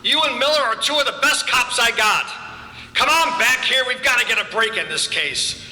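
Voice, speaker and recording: A man's voice: very high voice, lively intonation, moderate pace, sharp tone, exaggerated voice. A man playing a blunt, pressured police inspector in an old-time radio detective drama. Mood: gruff, pressured. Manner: blunt, tough cop talk. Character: harried police inspector, commanding and pressured, male. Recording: echoey hall, roomy stage sound.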